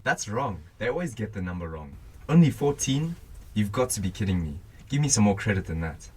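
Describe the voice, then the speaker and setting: Guy playing a suave flirty psychologist with a dark secret, lowish voice, radio play, bit of hiss. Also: big pitch swings, fairly quick. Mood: indignant. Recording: noisy amateur recording.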